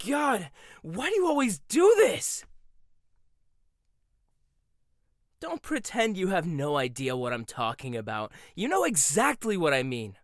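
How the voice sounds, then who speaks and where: A man voices a proud young head chef with a sharp, sarcastic tongue who swings his voice up and down as he argues. He sounds close and dry, with no room around him. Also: sits high, dry recording, heavily acted. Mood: mocking. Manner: sarcastic, confrontational. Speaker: voice actor. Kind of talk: audio roleplay.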